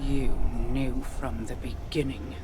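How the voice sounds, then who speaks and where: A woman playing a proud, cold queen in a video game, commanding and disdainful in a measured way. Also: a bass voice, fairly even intonation, noisy background. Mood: cold anger.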